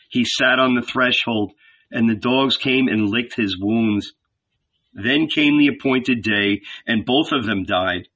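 A man voicing a calm male teacher who tells parables in a measured, solemn manner, in a storybook reading. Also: medium pitch, low-quality recording.

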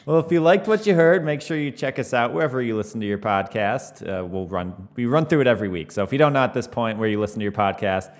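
A man's voice: medium pitch, fast talker, few pauses. A man hosting a podcast in an informal, joking style of chat. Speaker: podcast host.

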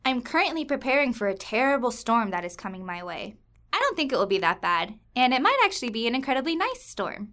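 A woman's voice: on the high side, sing-song, fast, sharp tone. A woman playing a chatty, naively optimistic bird in a comic audio drama, telling the story in a breezy, self-important way.

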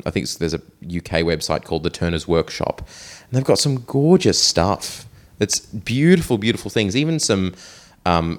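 Deep-voiced man chatting as a hobbyist co-host. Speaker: podcast co-host. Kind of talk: casual hobbyist discussion.

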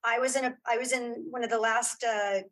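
A middle-aged or older woman chatting casually as an interview guest, telling stories with animated, self-mocking asides. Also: on the high side, a little fast. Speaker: interview guest, audio drama creator and former actress.

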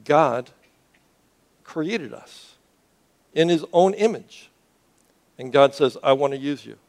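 A man's voice: on the high side, expressive, slow, a little mellow. A man who grew up in Cuba, preaching a sermon to a congregation in an earnest way, often restarting words and asking the audience questions. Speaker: pastor.

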